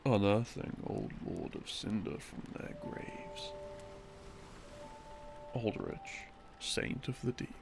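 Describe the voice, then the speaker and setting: A man telling lore as a soft, rambling sleep-aid story, breathy and often sinking into a murmur, in halting short phrases. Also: lowish voice, fairly even intonation, background noise. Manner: halting, broken into short phrases with long pauses. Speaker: audio roleplay narrator, playing a boyfriend explaining game lore. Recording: music behind the voice. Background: soft background music.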